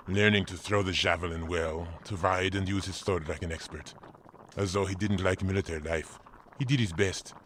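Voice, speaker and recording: A man's voice: low-pitched, a bit bright, a little hiss or hum, rough recording. A man narrating a scripted audio drama as its storyteller.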